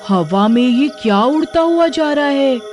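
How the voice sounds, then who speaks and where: A woman's voice: high-pitched, animated, a little slow, loud music behind the voice, pitched above the performer's own voice. Woman playing a nagging village wife. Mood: frightened. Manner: nagging, exclaiming. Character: scolding, sensible, easily frightened village wife. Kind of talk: cartoon story acting.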